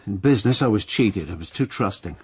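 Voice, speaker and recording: An actor playing a troubled, needy writer haunted by plague, morose and reflective, in a radio drama. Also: male voice, on the low side, lively intonation, fairly quick, breathy edge. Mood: self-pitying. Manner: morose, self-pitying, reflective. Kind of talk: radio drama dialogue and narration.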